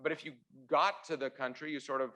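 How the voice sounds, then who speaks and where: A man born in Russia and raised in Canada, a constitutional lawyer giving opening remarks at a university debate, halting often on "uh" and restarts, with dry wry asides. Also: fairly high pitch, steady tone, sharp tone. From born in Russia, raised in central Ontario, Canada.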